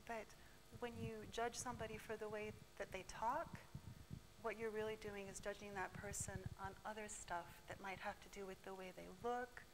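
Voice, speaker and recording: A woman's voice: medium pitch, flat delivery, moderate pace, noisy background. A woman researcher standing in a lecture room, answering audience questions at a seminar in an earnest, explanatory way. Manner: earnest, explanatory, with light laughs. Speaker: presenting researcher.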